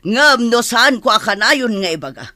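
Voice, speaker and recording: A woman's voice: on the high side, expressive, normal speed, bright, forceful tone, dry recording, clean studio sound. A woman playing a fussy, nagging mistress of the house in an Ilocano comedy radio drama, scolding with an Ilocano Filipino accent.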